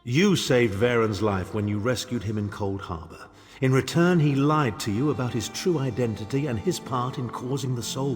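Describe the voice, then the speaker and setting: A man's voice: medium pitch, darkish tone, natural voice. An actor as a pompous imperial nobleman in reverb. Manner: pompous, disdainful, wordy. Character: haughty, scheming imperial chancellor, nobleman. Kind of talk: theatrical fantasy game dialogue. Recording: long reverb, music behind.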